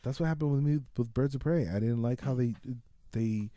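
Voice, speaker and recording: A man's voice: medium pitch, fairly quick, warm tone, no echo. A man co-hosting a film podcast, talking casually and bluntly with opinions and some swearing, repeating himself for emphasis. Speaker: podcast co-host reviewing films. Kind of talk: casual movie banter with swearing.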